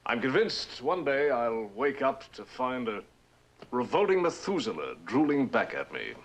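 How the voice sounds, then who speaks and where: Animated, wry eccentric rich man. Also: medium pitch, measured pace. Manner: theatrical, rambling, wry. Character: wealthy, eccentric, world-weary man, expansive and wry. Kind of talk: mid-century television drama acting. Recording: noisy old TV drama soundtrack.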